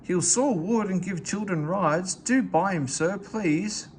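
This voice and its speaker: A man's voice: high voice, takes it slowly, slight background noise, voice pushed up. A man voicing a kind, caring old engine, in an earnest, indignant way, for a read-aloud storybook.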